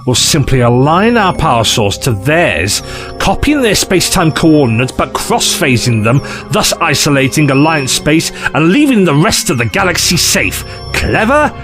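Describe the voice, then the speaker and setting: High, swooping, flippant trickster played by a man. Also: very animated, normal speed, music in the background. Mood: smug, indignant. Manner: flippant, theatrical, chatty, condescending. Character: glib, eccentric, cheeky alien time-travelling trickster. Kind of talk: audio drama acting.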